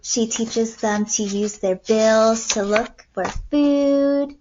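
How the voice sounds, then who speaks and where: A young woman teacher reading aloud to kindergartners in an expressive storytelling way, recorded indoors on a computer mic. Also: a little high, slow pace, lo-fi sound, background noise. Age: young adult. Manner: expressive, clearly paced storytelling for children. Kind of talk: read-aloud lesson for young children. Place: small room at home, indoors.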